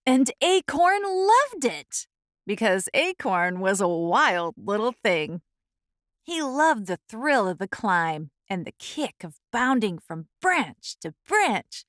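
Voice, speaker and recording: A woman reading a children's storybook aloud as the host, in an expressive, deliberate storytelling style. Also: mid-range voice, no room sound, clean studio sound.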